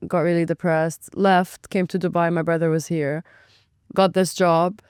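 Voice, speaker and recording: A young woman from Lebanon tells her story as a restaurant owner and guest. She is chatty and animated, and she acts out her own thoughts as she goes. Her voice is smooth and clear in a dry, close recording. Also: on the low side, normal speed, dry recording. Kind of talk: casual interview.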